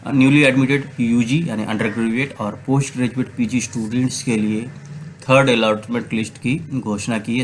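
A man hosting an update channel, narrating a screen-share walkthrough of an official notice in a steady explanatory way, reading figures out one by one. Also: mid-range voice, a little hiss or hum, low-quality recording. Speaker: channel host giving admission updates. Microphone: voice-over recorded apart from screen capture.

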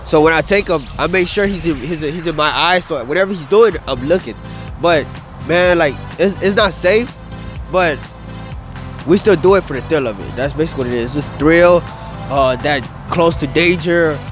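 Young man, slightly raised pitch, loose slangy rambling talk, outdoor handheld mic interview, music behind. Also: normal speed. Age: young adult. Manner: loose, rambling, slangy, lots of "bro" and "dude". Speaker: interviewee, wheelie rider. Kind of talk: casual interview. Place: open grass field outdoors by a city skyline. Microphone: handheld mic held by interviewer. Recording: music playing underneath. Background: background music.

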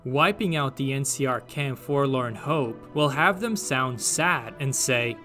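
Bright-voiced man narrating. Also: medium pitch, unhurried. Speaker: gaming channel narrator. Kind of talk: scripted narration. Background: faint music.

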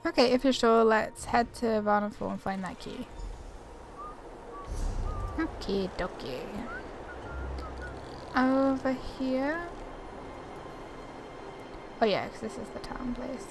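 A woman gamer with a fairly high voice and a lot of vocal fry. She commentates casually, chatty and informal, over faint game ambience. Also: animated, slow, long pauses, noisy background. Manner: chatty, informal, self-deprecating. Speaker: gaming YouTuber. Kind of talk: casual gameplay commentary, reading quest text aloud. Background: video game ambience.

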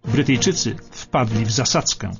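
A man's voice: medium pitch, moderate pace. A man narrating a scripted historical radio documentary with emphatic, suspenseful storytelling. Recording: dull radio sound over music bed.

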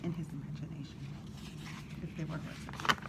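A woman storyteller reading a children's picture book aloud in an animated, expressive way, with brief asides. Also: low voice, moderate pace. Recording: clearly audible background noise.

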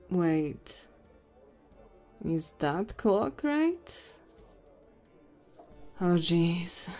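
Woman playing a slow-talking tipsy goblin innkeeper woman. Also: fairly high pitch, a little mellow. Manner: teasing, intimate, drawn-out drunken musing. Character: tipsy, flirty, short female goblin innkeeper. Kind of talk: ASMR audio roleplay.

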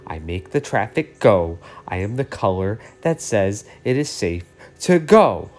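A man's voice: on the low side, sing-song, measured pace, a little mellow, faint music behind. Man playing an indignant green traffic light. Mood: insistent. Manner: firm, retorting.

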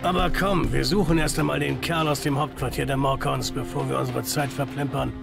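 Brisk, gravelly male henchman. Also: medium pitch, fairly even intonation. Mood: businesslike. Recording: music clearly under dialogue.

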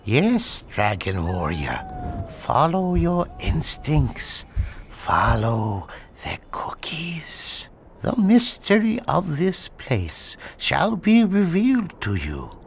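An ancient, mystical tortoise sage from a video game. He speaks extremely slowly and solemnly, with pitch that sweeps dramatically up and down. Ambient noise sits behind the serene, wise voice. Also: wildly swooping pitch, gravelly, full character voice. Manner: solemn, drawn-out, wise, whimsical. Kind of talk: animated video game voice acting.

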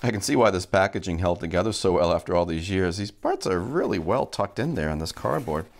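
A man with a very deep voice talks through a hands-on task as a video host. His pitch moves around a lot, giving the casual narration an animated swing. He takes his time and describes each step as he goes. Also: a little fast, gravelly. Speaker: host of a vintage electronics channel.

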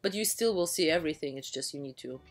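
A chatty, enthusiastic woman in her thirties talks through a travel vlog in an easy voice-over. Her voice sits a little low, and she often stops to restart a sentence. Soft music plays faintly behind her. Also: expressive, fast talker. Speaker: travel vlogger. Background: faint background music.

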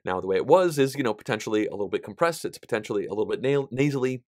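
A man in his thirties with a somewhat nasal voice, giving a casual, animated vlog update about his board game channel. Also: medium pitch, rapid-fire, no room sound, clean studio sound. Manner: chatty and animated, gesturing with both hands, frequent fillers. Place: small indoor studio, plain backdrop.

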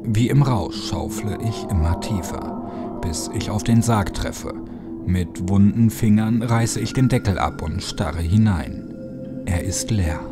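A man's voice: fairly low pitch, slow. A man reading a first-person horror story as a dramatic, theatrical narrator. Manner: theatrical, drops into hushed whispers. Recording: music behind the voice. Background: music.

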